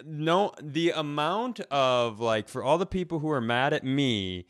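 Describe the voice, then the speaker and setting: A man's voice: a little high, expressive, a little slow, fairly bright tone, no echo, clean studio sound. A man co-hosting a comedy podcast in casual banter, exasperated and sweary, often repeating himself as he goes.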